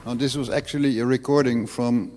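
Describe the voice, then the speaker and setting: A man hosting, presenting clips and interviewing guests in a measured way with pauses. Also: medium pitch, fairly even intonation, dark tone, in a large hall, slight background noise. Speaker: host.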